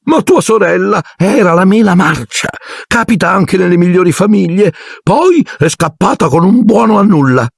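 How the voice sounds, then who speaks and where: A shrill, drunken bullying aunt. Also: male voice, animated, moderate pace, gravelly, a little hiss or hum. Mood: scornful. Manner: scornful, shrill and sneering.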